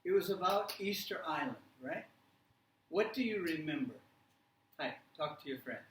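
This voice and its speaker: Man, fairly high voice, teacher trainer, halting emphatic delivery, frequent pauses, distant mic. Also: expressive, a bit bright. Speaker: teacher trainer presenting a workshop. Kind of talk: interactive lecture to teachers. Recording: roomy, distant mic, faint noise.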